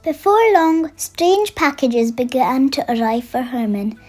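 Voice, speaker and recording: A storyteller reading a children's bedtime picture book aloud in an expressive way, made for young listeners. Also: high voice, measured pace. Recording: music under the voice. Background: music.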